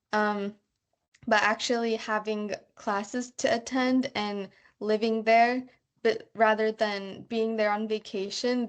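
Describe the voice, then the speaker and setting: A young woman, a student abroad, chatting casually about daily life, with many fillers and "like"s. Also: mid-range voice. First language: bilingual. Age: young adult. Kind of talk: casual conversation.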